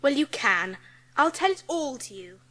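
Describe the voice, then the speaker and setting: A bred young schoolgirl in an audiobook drama. Her voice is very high and childlike, with gentle, earnest, carefully formed speech and a kind, warm-hearted temper. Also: sing-song, normal speed, soft background music, heavily acted. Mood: kind, reassuring.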